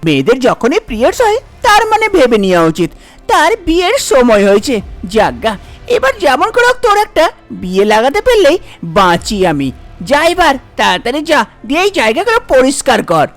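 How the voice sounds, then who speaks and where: A woman's voice: sits high, wildly swooping pitch, brisk, faint music behind. A woman voicing a harsh, nagging, impatient village stepmother in a cartoon folk tale. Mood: dismissive. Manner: nagging, bossy, dismissive. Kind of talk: cartoon story voice acting.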